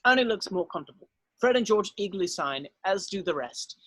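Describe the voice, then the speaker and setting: A woman's voice: medium pitch, animated, a little fast, fairly bright tone, slightly rough, no room sound. A woman narrating stage directions from a script.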